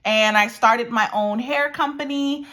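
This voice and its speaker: A woman's voice: fairly high pitch, a little slow, fairly bright tone. A woman in her forties from Washington, D.C., a realtor talking casually to camera from her dining room, emphatic and repeating her points. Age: 40s. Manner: emphatic, repeats phrases, addresses viewers as guys. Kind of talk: casual direct-to-camera market talk. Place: dining room at home by a windy patio.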